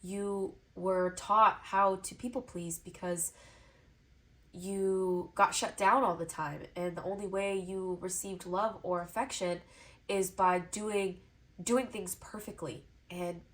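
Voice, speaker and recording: A woman with a stuffy, congested nose, giving a pick-a-card tarot reading to camera in a soft, encouraging way, with repeated phrases in flowing lists. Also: fairly low pitch, fairly even intonation, slow pace. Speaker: tarot reader.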